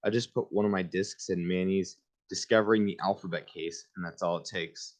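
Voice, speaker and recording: A man's voice: low voice, steady tone, fairly quick. A man reading a children's book aloud in an informal way, with casual asides of his own. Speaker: reader hosting a book livestream.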